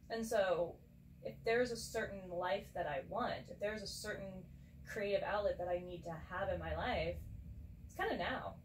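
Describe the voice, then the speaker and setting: A woman in her thirties, co-hosting a casual podcast chat, talking earnestly and reflectively and laughing easily. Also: medium pitch. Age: 30s. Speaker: podcast co-host. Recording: roomy and distant with faint background noise.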